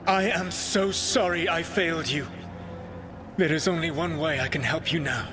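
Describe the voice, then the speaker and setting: An actor playing a stern, burdened elven god in a video game, speaking in a grave, formal, measured way. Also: male voice, a little high, music in the background, natural voice. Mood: sorrowful resolve. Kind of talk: dramatic video game acting.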